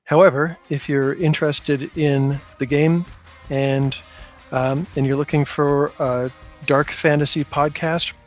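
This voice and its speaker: A man's voice: on the high side, flat delivery, measured pace, faint music behind. A man speaking casually as a guest in a podcast interview about his own work, with a self-deprecating manner. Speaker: podcast guest, tabletop game designer.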